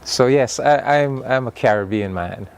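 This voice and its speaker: An older man from Saint Vincent with a Barbadian accent, a guest on a morning TV talk show, speaking in a relaxed, conversational way. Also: mid-range voice, normal speed, creaky, smooth. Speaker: non-resident high commissioner, studio guest. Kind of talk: morning TV interview.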